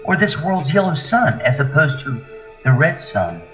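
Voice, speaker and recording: An actor playing a proud, analytical alien scientist with formal, precise diction in an audio drama. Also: male voice, on the high side, big pitch swings, normal speed, fairly bright tone. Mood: studious. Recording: dull echoey lo-fi audio, music.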